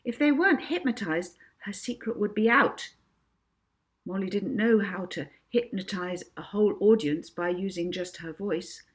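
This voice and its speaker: A woman reading a children's book aloud as an expressive narrator. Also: medium pitch, normal speed. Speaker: author reading own book.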